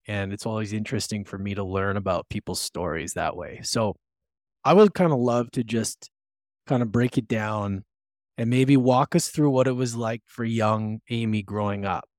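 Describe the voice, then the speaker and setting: A man's voice: lowish voice, darkish tone, dry recording, clean studio sound. A man hosting a podcast, asking questions in a loose, rambling way full of fillers. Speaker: podcast host. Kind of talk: interview questions.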